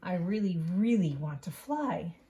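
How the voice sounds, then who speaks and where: A woman's voice: fairly low pitch, expressive, measured pace, mellow. A woman voicing an earnest, modest young male frog with big dreams in a children's storybook. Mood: modest, wistful.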